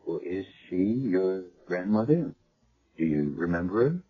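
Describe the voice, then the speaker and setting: An actor playing a middle-aged hospital chief of staff, brisk and condescending, questioning a patient in a businesslike way in a 1970s radio drama. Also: male voice, deep voice, very animated, full character voice. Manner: crisp, businesslike bedside questioning. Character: brisk, condescending male doctor in charge. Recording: dull old radio broadcast.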